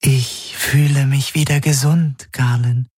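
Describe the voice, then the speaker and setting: A man voicing a sorrowful, fading female spirit who speaks softly and half in whispers to her master. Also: mid-range voice, steady tone, takes it slowly, husky, no room sound, clean studio sound, voice pushed up. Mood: sad. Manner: soft, pleading, partly whispered.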